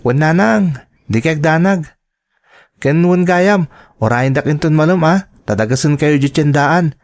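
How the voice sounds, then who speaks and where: A man's voice: fairly high pitch, normal speed, close to an everyday voice. A man acting as a caring student son in an Ilocano radio drama, speaking tenderly and respectfully with an Ilocano accent. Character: devoted, caring teenage or young-adult student son.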